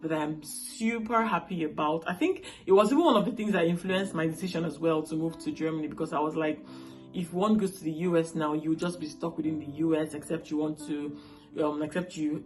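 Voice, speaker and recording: A woman's voice: low voice, darkish tone. A woman in her late twenties from Nigeria, with a Nigerian accent and Igbo as her first language, vlogging in a casual, chatty way and checking in with viewers often. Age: late 20s. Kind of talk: casual talk to camera.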